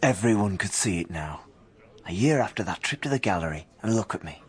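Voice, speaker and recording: An actor playing a restless young working-class husband who narrates in an anxious, colloquial, confiding way in an audio drama. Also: male voice, low-pitched, animated, a little fast, husky. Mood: reflective.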